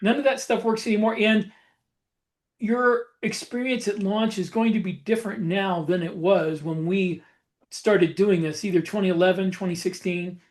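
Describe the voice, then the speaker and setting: A man with a distinctly high voice for a male speaker talks as a podcast co-host and author. His style is casual and conversational. He speaks haltingly, circling back to repeat and rephrase what he means. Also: steady tone.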